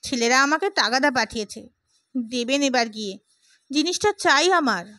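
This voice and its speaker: Woman voicing an idealistic, high-voiced, oratorical gentleman. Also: lively intonation, normal speed, no room sound. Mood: brisk. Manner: oratorical, emphatic. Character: idealistic, opinionated gentleman, fervent and talkative. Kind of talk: dramatised audiobook character.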